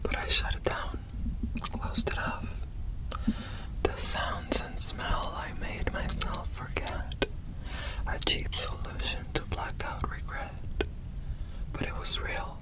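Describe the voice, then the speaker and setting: A woman doing ASMR, whispering and softly singing lyrics in a hushed, breathy, gentle way, close to the microphone. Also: rough recording. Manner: slow, gentle, hushed delivery. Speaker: ASMR performer.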